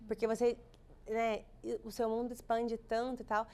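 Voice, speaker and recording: A woman from Minas Gerais co-hosting a podcast, chatting warmly and addressing the audience directly. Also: fairly high pitch, level tone, moderate pace, clean tone. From Minas Gerais, Brazil. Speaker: podcast co-host. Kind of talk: podcast host chat and sponsor read.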